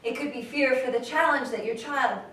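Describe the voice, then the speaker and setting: An actress playing a thoughtful woman giving measured advice to parents in a staged reading. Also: high voice, fairly bright tone. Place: stage in a reverberant room, recorded from afar. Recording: echoey hall, far mic, faint noise.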